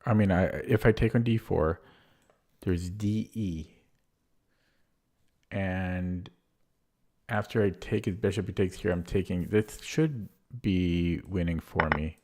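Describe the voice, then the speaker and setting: Man, deep low voice, hoarse, throat-clearing, casual live chess streamer, thinking aloud. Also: slow pace, pauses often, a little mellow. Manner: thinks aloud while playing, muttering over moves.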